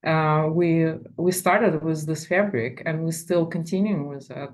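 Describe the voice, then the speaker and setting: Lower-pitched middle-aged woman, casual interviewee. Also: a little slow, a little mellow. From Latvia, former USSR. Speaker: fashion designer, interview guest.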